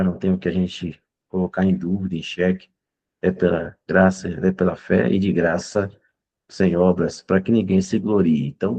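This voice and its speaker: A man's voice: deep voice, darkish tone, slightly rough. A man leading an online discipleship Bible class over a video call, fervent and often tagging his sentences with a questioning "né?". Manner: fervent, fills pauses with "né?". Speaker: Bible study leader.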